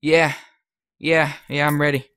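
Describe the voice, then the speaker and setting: Woman acting a very low-voiced hesitant youngster. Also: flat delivery, a little slow, a bit bright, smooth. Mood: uncertain. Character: hesitant young boy new to a drug crew. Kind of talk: audio drama acting.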